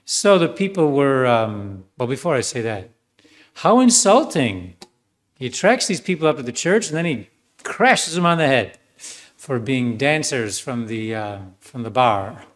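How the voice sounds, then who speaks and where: A priest giving a sermon, telling a story in a conversational way with many fillers and ironic asides. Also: male voice, medium pitch, sing-song, measured pace.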